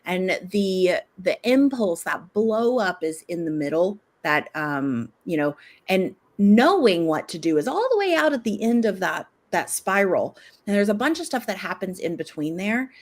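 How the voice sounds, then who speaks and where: A middle-aged woman, a parenting expert, giving conversational advice, animated and laughing easily as she acts out what a parent might say. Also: mid-range voice.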